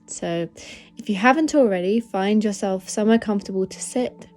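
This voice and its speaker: A woman leading a guided meditation in a gentle, soothing, unhurried manner of instruction. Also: mid-range voice, soft background music. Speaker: meditation guide, podcast host.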